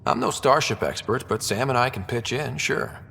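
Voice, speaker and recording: An actor playing a young explorer and team leader in a video game, husky, easygoing and curious. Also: male voice, mid-range voice, lively intonation, normal speed, sharp tone, in a large hall, slight background noise. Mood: supportive. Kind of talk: video game character acting.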